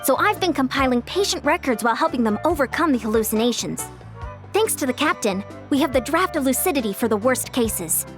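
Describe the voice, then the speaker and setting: A spirited young woman coach from a video game. Her voice is high and animated, upbeat and earnest with lots of energy. Music is clearly heard behind her. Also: fairly quick. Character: spirited, diligent young female coach and helper. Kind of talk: scripted video game voice acting.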